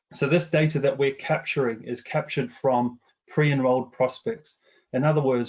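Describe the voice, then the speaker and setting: A man's voice: medium pitch, a little mellow, dull audio. A middle-aged man from New Zealand with a slightly anglicized New Zealand accent, presenting survey findings in a webinar, with frequent ums and restarts. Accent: New Zealand, partly anglicized after years in England. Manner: many fillers and restarts, measured business tone. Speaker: commercial director presenting research.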